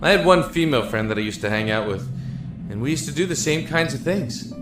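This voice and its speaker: An actor playing a stubborn, lovesick young prisoner in an audio drama, earnest and pleading, with a stammer at times. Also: male voice, medium pitch, animated, quick pace, noisy background, lightly acted. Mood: earnest, wistful. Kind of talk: audio drama acting with reflective narration. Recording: roomy echo with music underneath.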